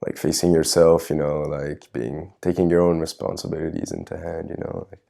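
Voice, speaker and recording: A young male student giving a relaxed documentary interview answer, with plenty of "like" and "you know". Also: a bass voice, fairly quick, creaky. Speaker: exchange student.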